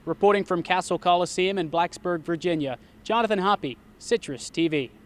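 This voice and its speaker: A man's voice: sits high, moderate pace. A young man reporting and calling a live basketball game in clipped announcer phrasing, as on a sports broadcast. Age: young adult. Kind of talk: live sports reporting and radio play-by-play commentary. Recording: noisy arena, crowd behind the voice. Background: crowd noise, bouncing basketball.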